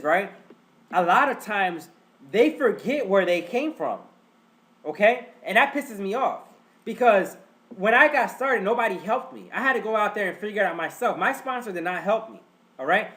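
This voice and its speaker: A man's voice: high-pitched, a bit bright. Stuffed-up man hosting a webinar. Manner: chatty, repetitive, addresses audience as guys. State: stuffed-up nose. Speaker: webinar host. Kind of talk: casual live webinar teaching.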